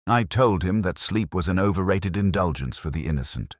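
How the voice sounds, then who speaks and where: A man playing a weary, introspective police detective, narrating a crime drama in the first person with reflective, literary, measured phrasing. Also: deep voice, lively intonation, no echo, studio quality. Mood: brooding, reflective.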